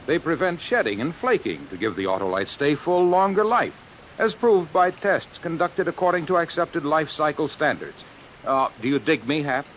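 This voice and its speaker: A man's voice: fairly high pitch, expressive, moderate pace, fairly bright tone, rough recording. A man playing a chipper, polished salesman in a 1950s radio advertisement. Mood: chipper, selling. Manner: peppy, emphatic, polished. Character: chipper, punning salesman pitching car batteries. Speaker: commercial announcer. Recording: hissy old radio recording under rain sounds.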